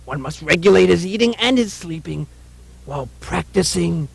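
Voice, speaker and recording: A man's voice: high voice, animated, normal speed, vocal fry, breathy edge, exaggerated voice. A man voicing a preachy, critical monk in a puppet show, scolding with emphatic delivery. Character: fault-finding male Indian monk, preachy and critical.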